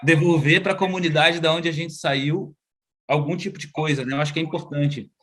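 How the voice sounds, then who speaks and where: A man's voice: fairly high pitch, steady tone, fairly quick, fairly bright tone. Chatty Brazilian man on a video call. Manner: chatty, slangy, full of tag questions. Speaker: guest psychologist and researcher. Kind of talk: casual video-call interview. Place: outdoors at home, moving inside.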